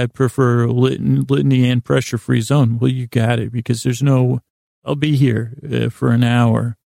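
Male sleep podcast host: heavily fried, gravelly voice, close dry sound, meandering and self-deprecating, tripping over words. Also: medium pitch, mellow, no room sound. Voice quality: creaky, gravelly. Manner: halting, stammering, meandering, keeps restarting words. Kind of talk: rambling, tangential bedtime monologue.

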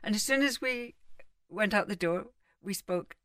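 A woman's voice: mid-range voice, measured pace, no echo, clean studio sound, far from the mic. Middle-aged woman, a little off-mic. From England. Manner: animated, emphatic, explains with examples. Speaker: guest psychotherapist. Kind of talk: casual conversational interview.

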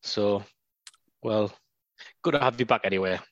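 A man hosts a football podcast in a relaxed, chatty way, laughing easily between remarks. His voice sits fairly low. The sound is dry and a little dull, like a lo-fi home recording. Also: unhurried, no echo. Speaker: podcast host. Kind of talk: casual football podcast chat.

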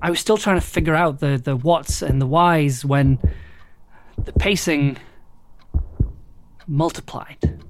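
An actor playing an exhausted, anxious restaurant worker in an audio drama monologue, with a hesitant, halting delivery that repeats words. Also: mid-range voice, natural voice. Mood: uneasy. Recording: music behind the voice.

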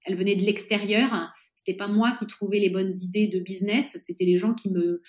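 A woman's voice: mid-range voice, fairly even intonation, brisk. A woman in her late thirties from France, a podcast guest talking casually in an interview, with lots of hesitations and restarts. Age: 30s. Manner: hesitant, many fillers and restarts.

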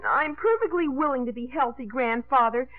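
Huffy, melodramatic teenage girl played by an actress, high voice, dry vintage radio comedy. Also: normal speed, no echo, close to an everyday voice. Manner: huffy, dramatic. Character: touchy, melodramatic teenage granddaughter. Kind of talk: 1950s radio comedy acting. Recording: old radio recording, thin phone-like sound.

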